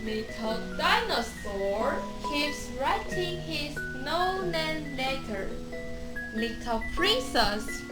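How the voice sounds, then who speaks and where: Slow, high-voiced young woman narrating. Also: very animated, rough recording. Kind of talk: storybook narration for a puppet show. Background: loud tinkling glockenspiel music.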